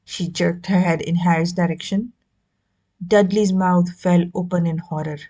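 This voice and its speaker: A woman reading a children's novel aloud as an expressive narrator. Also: fairly low pitch. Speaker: audiobook reader. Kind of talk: expressive scripted story narration.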